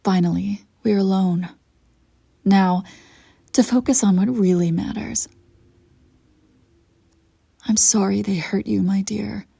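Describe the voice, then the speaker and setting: Evil empress played by a woman, audio roleplay, husky voice, unhurried haughty delivery, seductive menace, theatrical. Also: medium pitch, steady tone, warm tone, dry recording, heavily acted. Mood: soft, seductive. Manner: theatrical, haughty, deliberate phrasing. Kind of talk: scripted audio roleplay acting.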